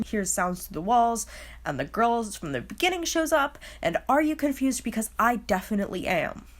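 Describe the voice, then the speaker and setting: Lower-voiced woman giving a wry recap. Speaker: host of a video explainer. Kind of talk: casual scripted recap commentary.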